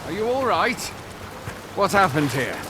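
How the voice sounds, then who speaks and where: A man playing a brisk, inquisitive soldier in a fantasy game, questioning with authority. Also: high voice, sing-song, noisy background. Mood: concerned, curious.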